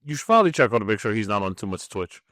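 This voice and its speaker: A man's voice: lowish voice, big pitch swings, racing, a bit bright, no room sound, clean studio sound. A man co-hosting a podcast with casual, teasing banter. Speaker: podcast co-host.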